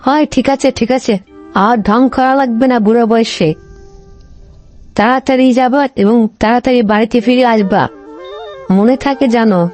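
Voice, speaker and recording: A woman voicing a nagging, bossy fox wife in a cartoon, insistent and quick to repeat herself. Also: a little high, fairly even intonation, darkish tone, faint music behind, close to an everyday voice. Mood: bossy, relenting. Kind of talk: cartoon story dialogue.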